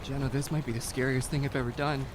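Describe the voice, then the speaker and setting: A man's voice: mid-range voice, steady tone, fast talker, noisy background, lightly acted. A man playing an earnest, anxious young hiker in an amateur audio drama. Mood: shaken.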